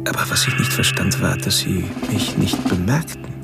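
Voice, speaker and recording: A man's voice: deep voice, animated, moderate pace, creaky, over loud music. An actor playing a brooding serial killer who narrates his thoughts in a bookish, sardonic way for a radio drama. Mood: cool, watchful. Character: brooding male serial killer, intellectual, coldly self-absorbed. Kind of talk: first-person radio drama narration, inner monologue.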